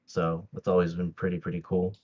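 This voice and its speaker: A man's voice: deep voice, flat delivery, a little fast, a little mellow, husky, dull audio. A man whose first language is Tagalog, chatting casually as a podcast guest, with lots of fillers and restarts. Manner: hesitant, many fillers and restarts, laughs often. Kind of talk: casual interview chat.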